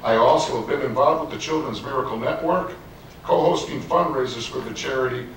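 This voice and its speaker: A man delivers a measured, businesslike candidate statement at a public forum. He sounds set back from the mic, with heavy echo from the hall and faint room noise behind him. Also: mid-range voice, recorded from a distance. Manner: measured, businesslike, reads from prepared text. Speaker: village trustee candidate. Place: community meeting room with an audience. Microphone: handheld microphone.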